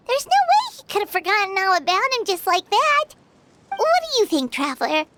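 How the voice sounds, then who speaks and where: A woman voicing a small, chirpy, curious girl companion in a video game. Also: noisy background. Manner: high, bouncy, inquisitive.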